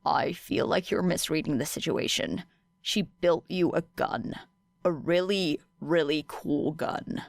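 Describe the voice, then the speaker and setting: Low, deadpan teenage boy, voiced by a woman. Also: slow, gravelly, no room sound, clean studio sound, full character voice. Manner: deadpan, grumbling. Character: grumpy, deadpan, sardonic teenage boy student. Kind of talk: audiobook character dialogue.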